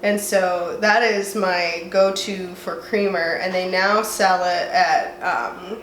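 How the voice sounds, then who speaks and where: A woman's voice: on the low side, steady tone, slow, fairly bright tone. A young woman from the southwestern United States, talking in a chatty, rambling way with a congested voice from a cold, at home. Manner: chatty, rambling, self-mocking. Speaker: vlogger. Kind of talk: casual chat. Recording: roomy echo, faint room noise.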